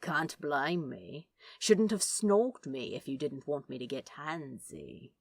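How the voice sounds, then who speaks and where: A woman's voice: low voice, expressive, slow pace, creaky, a little mellow, no echo, pitched below the performer's own voice, full character voice. A woman voicing a cheeky, laid-back male demon who teases and flirts in a slangy drawl, in a story reading. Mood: nonchalant teasing.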